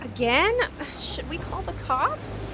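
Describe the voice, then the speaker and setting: An actress playing a snobbish, disdainful 1980s corporate wife in an audio drama. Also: female voice, sits high, very animated, slow, noisy background, heavily acted. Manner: snooty, exasperated.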